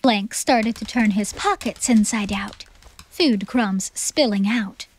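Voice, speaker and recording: A woman's voice: mid-range voice, slow pace, no echo. A woman reading scripted, dramatic story narration as the narrator of an audiobook. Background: background music.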